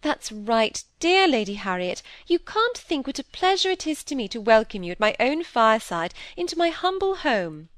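A woman acts out a genteel wife from a period storybook, simpering and affected. Her voice lilts high and moves up and down a great deal, with a fawning, self-pitying air. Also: sing-song, moderate pace, hardly any pauses, dry recording. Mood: gushing. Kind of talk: audiobook character voice in a period novel.

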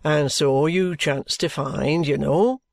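A reader voicing a sly, genial middle-aged male detective with a cheerful, coaxing, unhurried manner. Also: medium pitch, creaky, darkish tone, no echo, voice pushed down. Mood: wheedling. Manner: cheerful, coaxing, leisurely drawl. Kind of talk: audiobook character reading.

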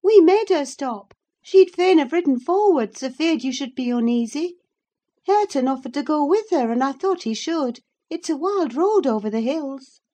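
A woman putting on a loud farm servant. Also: high voice, animated, normal speed, voice pushed up. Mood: pleading. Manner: loud, pleading, broad dialect.

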